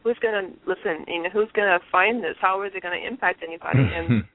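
A woman's voice: fairly low pitch, big pitch swings, fast talker. Earnest woman over a tinny phone line. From Liberia. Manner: earnest, reflective, with frequent restarts. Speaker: guest. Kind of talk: personal testimony in an interview. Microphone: telephone.

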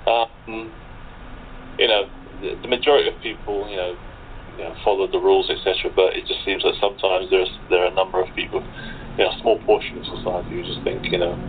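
A man's voice: low-pitched, flat delivery, fast talker, phone-quality sound, slight background noise. A man with an English accent chatting casually in a hesitant, hedging way over thin remote call audio. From England. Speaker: cast member being interviewed. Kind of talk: casual chat.

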